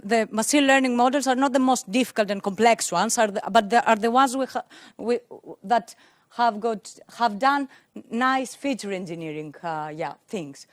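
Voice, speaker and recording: A young woman whose first language is Greek gives a technical conference talk into a handheld mic in a conference room, pausing often with fillers and restarts. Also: fairly high pitch, dry recording. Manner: hesitant, many fillers and restarts. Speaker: conference speaker.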